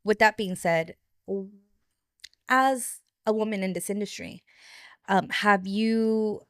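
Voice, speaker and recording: A woman's voice: mid-range voice, takes it slowly, dry recording, clean studio sound. A woman hosting a podcast in a casual, conversational style. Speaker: podcast host.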